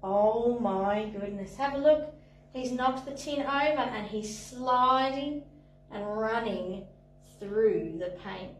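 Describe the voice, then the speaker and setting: A young woman, a playgroup facilitator, tells a picture story for toddlers and their parents in an animated, sing-song way, with lots of exclamations. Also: a little high, slow pace, far from the mic, faint music behind. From Australia. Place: indoor playroom, in front of a screen. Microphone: far camera. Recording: echoey room, voice from afar.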